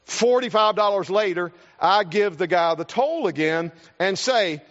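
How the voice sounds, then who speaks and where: A man with a South Carolina accent preaches in a folksy, earnest way, and his voice sits high for a man. He often repeats words as he goes. The sound is dull and lo-fi, with a long reverb from a large hall. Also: animated, measured pace, a bit bright. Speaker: pastor. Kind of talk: sermon with a personal story.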